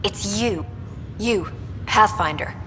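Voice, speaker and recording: A woman's voice: medium pitch, slow, pushed and bright, a little hiss or hum. Bitter, accusing woman in echoing hall. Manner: hostile, contemptuous. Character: bitter accusing woman colonist, scornful and despairing. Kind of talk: video game voice acting. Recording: reverberant hall, music behind.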